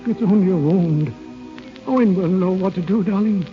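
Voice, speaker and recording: Guy playing intense lovestruck groom, old radio drama, high voice, muffled recording w music. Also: moderate pace, close to an everyday voice. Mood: anguished. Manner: urgent, pleading, melodramatic. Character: devoted bridegroom, passionate and anguished. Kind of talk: 1940s radio acting.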